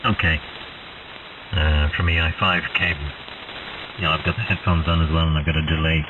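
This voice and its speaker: Middle aged guy rambling on ham radio, low voice, thin narrow band sound. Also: level tone, moderate pace, far from the mic. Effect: shortwave radio transmission. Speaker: amateur radio operator. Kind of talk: casual radio chat. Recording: muffled, narrow-band radio with clear static hiss.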